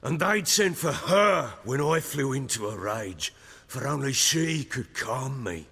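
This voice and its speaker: An actor playing a middle-aged lord in a video game, a proud, hard-drinking, volatile man with a rough voice and a coarse, brooding, theatrical manner. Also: mid-range voice, animated, a little slow, pushed and bright, long reverb tail, heavily acted. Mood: wistful, defensive. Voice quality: gravelly, rough.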